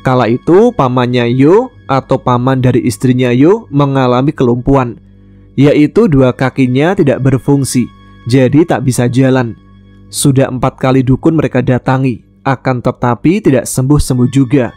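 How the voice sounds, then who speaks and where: A man from West Kalimantan, Indonesia, telling a true-life ghost story in a calm, measured way, voicing the dialogue plainly. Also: medium pitch, soft background music. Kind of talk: first-person ghost story narration.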